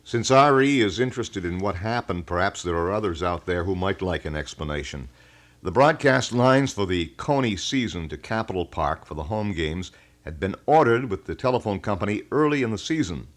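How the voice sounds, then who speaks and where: A man hosting a local radio talk show, speaking in wordy, measured and detailed explanations. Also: low voice, animated. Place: radio studio.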